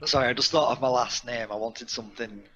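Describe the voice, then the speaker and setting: A man's voice: mid-range voice, fast, bright, forceful tone, slight background noise. A man talking casually as a player in a tabletop game over an online voice call. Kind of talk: casual chat. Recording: slightly dull voice-call audio.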